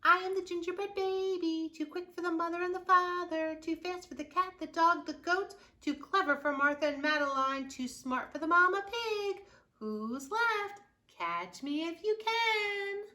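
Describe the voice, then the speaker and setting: Woman doing a cheeky high-pitched gingerbread baby. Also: unhurried, sharp tone, exaggerated voice. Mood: smug boasting. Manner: singsong, gleeful bragging. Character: cheeky, boastful little male gingerbread baby, singsong taunting.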